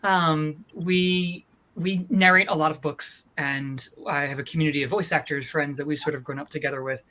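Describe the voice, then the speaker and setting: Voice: mid-range voice, steady tone, moderate pace, pushed and bright. Enthusiastic audiobook producer speaking conversationally. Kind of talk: informal project presentation.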